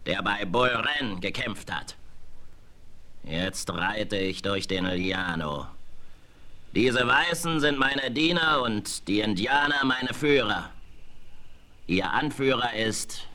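Older general, very slow drawl, forceful bright tone, swaggering, boastful, radio drama. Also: male voice, on the low side, lively intonation, exaggerated voice. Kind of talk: German radio play acting.

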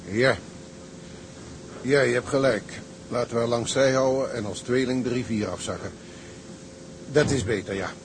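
An actor playing a tug captain in a Dutch radio drama, a weary, pliant skipper with a curt way of giving orders. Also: male voice, medium pitch, normal speed, pauses often, natural voice. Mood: yielding. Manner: curt orders, gives way readily. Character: weary, pliant male tug captain, anxious about coal. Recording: noisy ship ambience behind.

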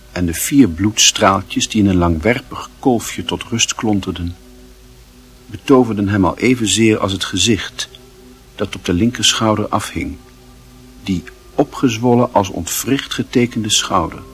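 A man narrating a literary radio play with measured, deliberate phrasing. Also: on the low side, a bit bright. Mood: grave, intent. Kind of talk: scripted narration.

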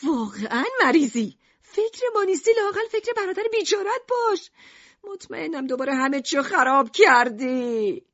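A woman's voice: high-pitched, very animated, a bit bright, no room sound, highs cut off, pitched above the performer's own voice, exaggerated voice. A woman voicing an angry, bossy mother in a story, scolding in exasperation. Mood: exasperated scolding.

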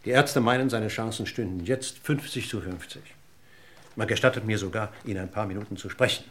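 An actor playing a seasoned police inspector in a radio crime drama, deliberate and insinuating, with ironic courtesy and a gravelly voice. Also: male voice, fairly low pitch, fairly bright tone. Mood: brisk, pointed.

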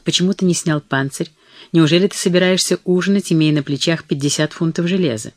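A woman's voice: lowish voice, fairly quick, no room sound, close to an everyday voice. A reader playing a stern, aristocratic old mother-in-law with a dry, cold manner in a storybook reading. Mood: surprised.

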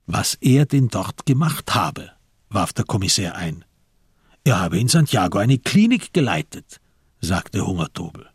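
A man narrating a radio crime drama in a measured, sober, literary manner, with a husky voice. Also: fairly low pitch, sing-song, dry recording, studio quality, heavily acted.

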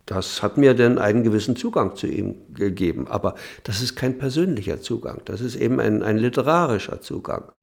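A man being interviewed, reminiscing spontaneously in halting, circling sentences with small tag questions. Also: medium pitch, vocal fry.

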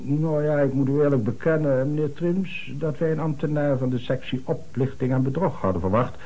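An actor playing an earnest, formal middle-aged notary in a radio play, speaking in a measured way with dramatic emphasis. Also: male voice, a little high, warm tone, poor audio. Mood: indignant.

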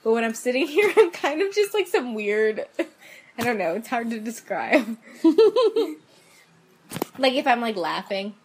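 A woman's voice: on the high side, very animated, unhurried, long pauses. Young woman talking from her bedroom, chill, self-deprecating, laughs a lot, bit of hiss. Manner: laid-back, self-deprecating, laughs often. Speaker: podcast co-host. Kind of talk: casual chat. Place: bedroom at home.

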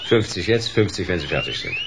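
An actor playing a hard-boiled private detective in a radio play, wry and unruffled, with a laconic, sardonic delivery. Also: male voice, sits low, lively intonation, a little fast, noisy background. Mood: cool bargaining. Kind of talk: radio play dialogue and noir first-person narration. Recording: radio play with music underneath.

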